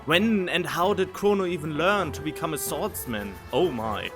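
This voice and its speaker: A man hosting a casual gaming reaction video, talking in a halting way as he thinks aloud into a studio microphone. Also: on the high side, expressive, music in the background. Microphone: studio condenser microphone.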